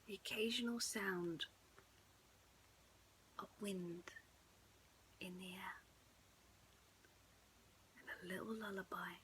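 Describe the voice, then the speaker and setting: Slow, hushed woman telling bedtime stories. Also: mid-range voice, steady tone, a little hiss or hum, low-quality recording. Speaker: bedtime storyteller. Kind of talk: soothing bedtime story narration.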